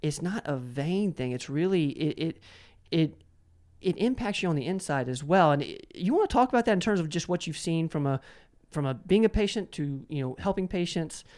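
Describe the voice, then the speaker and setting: A man's voice: on the high side, expressive, normal speed, few pauses, darkish tone. A man hosting a casual interview, asking long, rambling questions and often doubling back on his words. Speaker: interview host.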